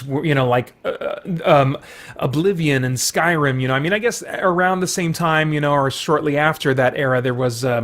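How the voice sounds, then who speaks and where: A middle-aged man hosting a video series, talking casually and rambling about video games. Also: fairly high pitch.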